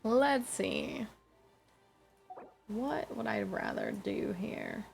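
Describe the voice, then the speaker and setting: A woman's voice: mid-range voice, huge pitch swings, drawn-out words, gravelly, faint music behind. A woman streaming a game, commenting casually and musing aloud over her choices.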